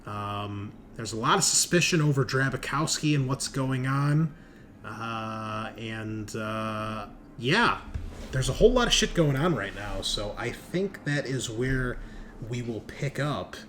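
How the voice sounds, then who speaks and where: A man's voice: mid-range voice, animated, slow, fairly bright tone. A man running a tabletop game as game master, narrating off the cuff in an informal, casually sweary way. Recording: clearly noisy room recording.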